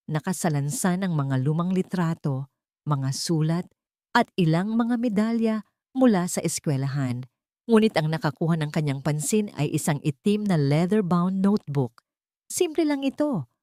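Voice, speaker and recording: A woman storyteller with a low voice reads a dramatic tale in deliberate, measured phrases. The recording is dry and close, with no room sound around her words. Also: darkish tone, no echo. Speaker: storyteller narrator. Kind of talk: scripted dramatic audio story narration.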